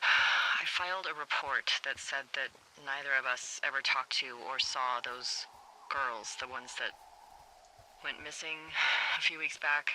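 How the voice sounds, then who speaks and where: A very bright, sharp-edged female fire lookout in a video game, wry and caring. She talks frankly and conversationally over a two-way radio, with faint noise. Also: a bass voice, unhurried, heavily acted, poor audio. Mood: guilty, wry. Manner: conversational, dry, hesitant when admitting things. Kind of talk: naturalistic video game dialogue.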